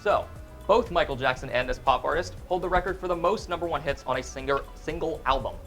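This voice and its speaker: A man's voice: fairly high pitch, a little fast, creaky, a bit bright, soft background music. A young man hosting a college TV quiz show in a studio, upbeat, chuckling often and stumbling now and then as he reads the clues. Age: young adult. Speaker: game show host.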